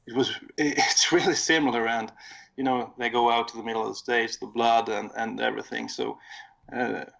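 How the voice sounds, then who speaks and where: Gravelly Swedish-accented man. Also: medium pitch, fairly even intonation, moderate pace, fairly bright tone. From Sweden. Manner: rambling, self-correcting recollection. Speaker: podcast co-host. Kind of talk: casual chat. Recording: dull lo-fi audio.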